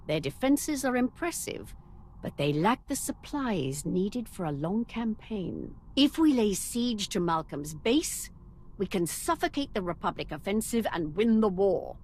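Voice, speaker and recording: An actress playing a shrewd, scheming empress in a video game, with a haughty and theatrical delivery. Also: female voice, mid-range voice, sing-song, a little slow, a little mellow, a little hiss or hum. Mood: confident. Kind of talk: video game cutscene acting.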